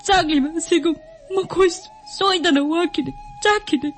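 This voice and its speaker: A woman's voice: extremely high pitch, rapid-fire. A woman acting in a radio drama as a bereaved younger sister, plaintive and mournful. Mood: bitter resolve. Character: sorrowful bereaved younger sister mourning her elder brother. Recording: faint music behind the voice.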